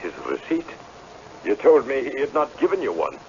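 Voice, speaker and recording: A commanding old laird, crafty and blustering. The voice is gravelly and swoops widely in pitch, in the manner of old radio theatre. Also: male voice, very animated, moderate pace, a little mellow. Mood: suspicious. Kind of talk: old-time radio drama acting. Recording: dull, hissy vintage radio broadcast.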